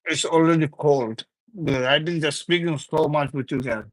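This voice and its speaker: A young man from Bangladesh with a slightly high voice, chatting loosely on a livestream. He chuckles often, reads viewers' comments aloud and teases them back. His voice sounds dry and close, with no room around it. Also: normal speed, dry recording, rough recording. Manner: loose, repetitive, teasing banter. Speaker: livestream host. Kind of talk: casual live chat with viewers.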